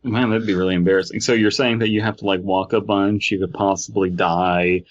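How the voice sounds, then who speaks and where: A man's voice: deep voice, fairly even intonation, brisk, highs cut off. A man hosting a podcast, reading horror stories aloud and chatting casually with sarcastic, sweary jokes. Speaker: podcast host and story reader.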